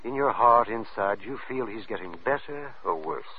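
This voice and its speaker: An actor playing a grave, concerned doctor, speaking with measured insistence in an old radio drama. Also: male voice, lowish voice, lively intonation, natural voice. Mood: urgent, concerned. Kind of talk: 1940s-50s radio drama acting. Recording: thin, narrow vintage radio recording.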